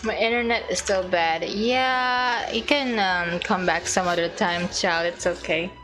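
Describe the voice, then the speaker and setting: Slow-talking woman streaming a game. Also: mid-range voice, poor audio. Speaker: gaming livestreamer. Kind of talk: casual reactions to gameplay and chat. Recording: game music behind voice.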